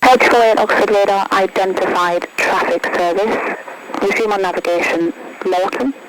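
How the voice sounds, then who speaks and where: High-voiced male controller on noisy radio. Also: normal speed. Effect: aviation radio. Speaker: air traffic controller. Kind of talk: clipped radio instructions. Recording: noisy crackly air traffic radio.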